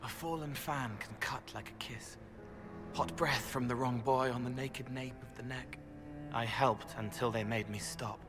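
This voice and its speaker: An actor playing a strange, gentle, haunted young empath in a fantasy game, speaking in dreamy, riddling fragments. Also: male voice, mid-range voice, steady tone, unhurried, over music, natural voice. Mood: eerie, subdued. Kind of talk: fantasy video game dialogue acting.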